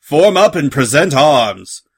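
A man's voice: medium pitch, takes it slowly, fairly bright tone. A man voicing a stern, proud male pony naval captain in a storybook, speaking in clipped commands. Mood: commanding.

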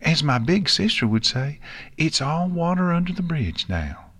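A man's voice: on the high side, very animated, normal speed, a bit bright, breathy edge, voice pushed up, full character voice. A man voicing a confident, kindly young farm pony filly with a folksy, reassuring way of talking.

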